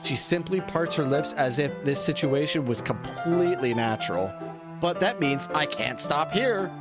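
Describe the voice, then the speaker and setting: A man's voice: on the high side, expressive, normal speed. A man streaming a game, reading a visual novel aloud and adding casual, joking commentary. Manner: joking, self-interrupting asides. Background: loud game music.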